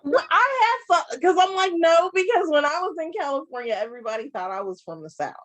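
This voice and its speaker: A woman's voice: high-pitched, sing-song, a little fast. A woman co-hosting a casual TV recap chat, reacting emphatically and laughing often. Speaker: podcast co-host.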